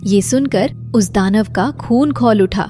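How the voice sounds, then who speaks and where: A woman's voice: medium pitch, slow pace, warm tone, soft background music. A woman reading scripted narration for a cartoon moral story as its narrator.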